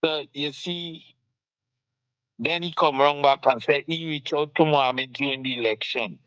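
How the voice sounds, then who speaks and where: A man's voice: on the high side, measured pace, a bit bright, no echo, highs cut off. A man with a Guyanese accent hosting a casual political talk show, passionate and firing off rhetorical questions.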